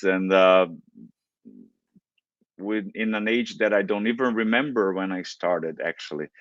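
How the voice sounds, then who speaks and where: A middle-aged man from Brazil whose first language is Portuguese, speaking in a fairly low voice. He talks haltingly, full of fillers and restarts. The sound is muffled and dry, with no room around it. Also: fairly bright tone, no room sound. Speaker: guest opera singer. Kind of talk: relaxed interview talk.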